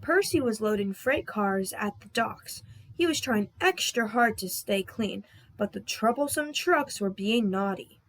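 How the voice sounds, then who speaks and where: A woman's voice: medium pitch, animated, measured pace. A woman narrating a scripted children's story as a read-aloud. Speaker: storybook reader.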